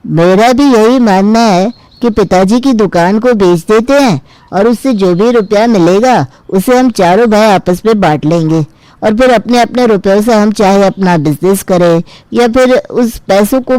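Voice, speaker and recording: A woman's voice: medium pitch, moderate pace, warm tone, dry recording, lightly acted, low-quality recording. A woman voicing a self-interested younger brother who argues and complains in a cartoon family story. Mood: demanding. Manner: argumentative, complaining. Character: self-interested younger brother demanding his inheritance share. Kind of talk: acted dialogue in a Hindi cartoon family story.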